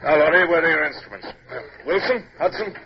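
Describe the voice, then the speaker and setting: An actor playing a stern but humane naval captain in a 1950s radio drama, formal and clipped in speech. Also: male voice, a little high, lively intonation, quick pace, crowd noise, natural voice. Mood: brisk, commanding. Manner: formal, clipped, hesitant when moved. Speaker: lead character and narrator. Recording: noisy, muffled vintage radio recording.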